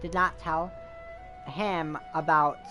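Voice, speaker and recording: A woman chats through a video game as she streams, answering the characters casually. Her voice is a bit low, with game music in the background. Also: fairly even intonation, slow. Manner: chatty, talks back to characters. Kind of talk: casual gameplay commentary.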